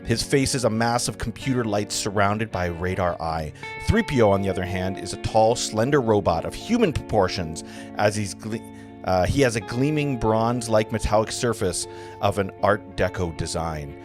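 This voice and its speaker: A man narrating stage directions in a live table read of a film script, sometimes halting and stumbling over the text. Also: on the low side, lively intonation, music in the background.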